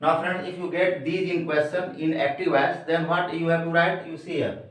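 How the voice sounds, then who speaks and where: A man with an Indian accent, a native Hindi speaker, teaching grammar like a classroom lesson in a deliberate, repetitive way, addressing learners as friends. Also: on the high side, fairly even intonation, a bit bright. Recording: echoey room, distant microphone.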